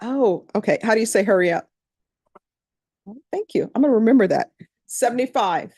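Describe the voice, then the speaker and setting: A woman's voice: on the high side, lively intonation, moderate pace, warm tone, no echo, studio quality. A middle-aged woman from Louisiana with a Louisiana accent, a judge speaking to a jury panel in a courtroom in a plain, conversational storytelling manner.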